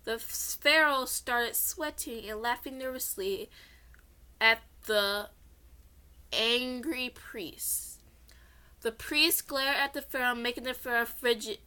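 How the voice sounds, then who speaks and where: A woman's voice: a little high, sharp tone. A young woman casually reading her own story aloud, rambling into informal, self-deprecating asides. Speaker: amateur story narrator. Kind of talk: casual chatty read-along of own story.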